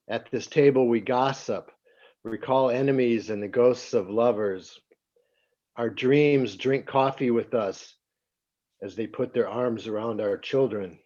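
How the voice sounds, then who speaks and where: Halting man reading a poem slowly. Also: mid-range voice, warm tone. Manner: halting, with many fillers and throat clearing. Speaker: congregation member reading a poem. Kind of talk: reading a poem in a sent-in home recording. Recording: slightly dull home recording.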